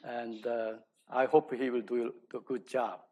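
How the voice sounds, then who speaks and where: Male lecturer, native Korean speaker with Korean accent. Medium pitch, halting delivery, self-deprecating academic talk. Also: level tone, mellow, highs cut off. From South Korea. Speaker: lecturer, archaeology scholar. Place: speaking live to an audience at an event.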